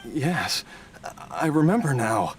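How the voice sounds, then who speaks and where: A gentle, ailing father from a video game. He talks slowly and hesitantly with a varied melody. He sounds a little distant under loud music. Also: medium pitch, sing-song. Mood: confused, apologetic. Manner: hesitant, slow. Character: gentle, grateful ailing father, adult man. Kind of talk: English video game voice acting.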